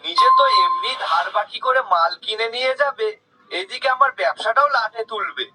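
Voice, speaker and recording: A crooked, greedy village shopkeeper from a cartoon, acted by a man. His voice sits extremely high, with a hard, piercing edge and a husky grain. He wheedles and blusters like a born cheat. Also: lively intonation, normal speed, pushed and bright, faint music behind, full character voice, poor audio. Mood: muttering, scheming. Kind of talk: cartoon character acting.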